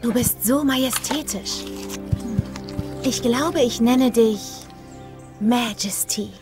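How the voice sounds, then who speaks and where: A woman playing a brave, gentle young rider in an animated adventure, with a caring manner. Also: fairly high pitch, takes it slowly, music in the background. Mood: awed, warm. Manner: caring, soothing.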